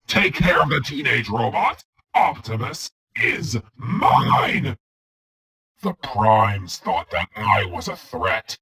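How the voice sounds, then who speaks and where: Tyrannical robot overlord, gravelly and husky, menacing, wide dramatic swings, cartoon villain. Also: male voice, mid-range voice, sharp tone, full character voice. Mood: enraged. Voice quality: gravelly, raspy. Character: tyrannical evil robot warlord, vengeful, grandiose, hateful. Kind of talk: scripted villain voice acting demo.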